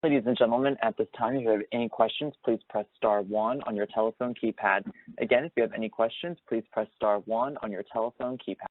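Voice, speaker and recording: A man's voice: mid-range voice, fast. A man working as a conference call operator, giving formal scripted announcements over a phone line. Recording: echoey narrow phone line.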